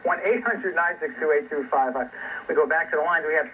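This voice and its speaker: A man's voice: fairly high pitch, brisk, slight background noise. Stumbling male TV news host. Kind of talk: stumbling broadcast moderation. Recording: muffled TV audio replayed on radio.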